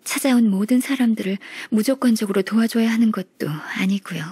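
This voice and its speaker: A woman voicing a gentle, earnest young immortal's disciple in a video game, polite, soft-spoken and formal. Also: a little high, fairly quick, breathy edge, no room sound. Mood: earnest, admonishing.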